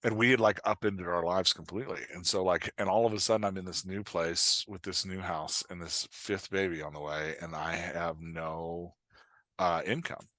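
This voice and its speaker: Podcast guest: middle-aged male, lowish pitch, dry close sound, candid and self-deprecating, slips into quoted asides. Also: steady tone, normal speed, a bit bright, dry recording. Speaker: podcast guest, fiction writer and dialogue coach. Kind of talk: candid, conversational interview talk.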